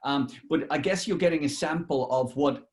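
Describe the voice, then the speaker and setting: A British man with a somewhat high-pitched voice talks as a radio interviewer. He is conversational and a little rambling, repeating small words as he builds his questions. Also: normal speed. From United Kingdom.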